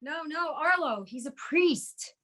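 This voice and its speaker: A woman's voice: high voice, lively intonation, takes it slowly, pushed and bright, full character voice. A woman playing a distressed, pleading middle-aged prostitute in a screenplay table read. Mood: alarmed, pleading. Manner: pleading, emotional.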